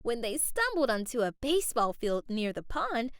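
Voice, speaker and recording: Woman reading a kids' book aloud, high and sing-song, slow, close and dry. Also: smooth, dry recording. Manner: expressive, carefully paced reading. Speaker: children's book reader. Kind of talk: read-aloud storytime narration.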